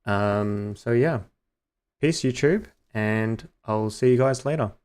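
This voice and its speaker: A young man streaming a game, chatting loosely to viewers with many fillers, his voice slightly hoarse. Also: on the low side, slow, a little mellow. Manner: rambling, many ums and uhs. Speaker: gaming streamer. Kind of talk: casual live-stream chat.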